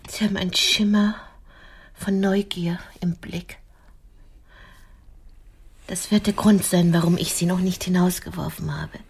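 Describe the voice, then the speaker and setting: A woman's voice: on the low side, steady tone, measured pace, gravelly, long pauses, breathy edge, heavily acted. A woman acting in a radio drama as a lonely older typist, haughty and cutting, yet romantic. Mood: wistful. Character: lonely middle-aged female typist, haughty, sharp-tongued, romantic. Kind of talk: intimate radio drama dialogue.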